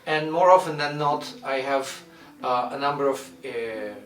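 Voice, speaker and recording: A middle-aged man from Argentina, a violin professor introducing his studio in a measured way, with many "uh" pauses as he searches for words. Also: medium pitch, fairly bright tone, distant mic. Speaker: violin professor and orchestra director. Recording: roomy echo, mic set back.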